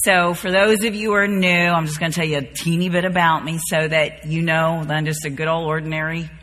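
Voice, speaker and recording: A woman's voice: sits low, flat delivery, fairly quick, a bit bright. A woman in her fifties from the Bahamas and North Carolina, teaching a Bible study group in an informal, folksy, conversational way. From born and raised in the Bahamas, later North Carolina. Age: 50s. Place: large reverberant room, speaking to a group. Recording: reverberant hall.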